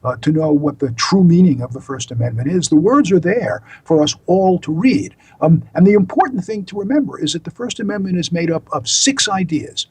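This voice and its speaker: An older man with an American accent, explaining as an interview guest in a deliberate, emphatic, professorial way. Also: on the high side, lively intonation, a little mellow, husky, poor audio. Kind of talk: explanatory interview talk, lecturing.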